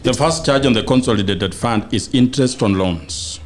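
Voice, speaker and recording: A man with a Kenyan accent, a panel guest explaining details haltingly as he searches for words. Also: mid-range voice. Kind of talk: explaining figures in a political discussion.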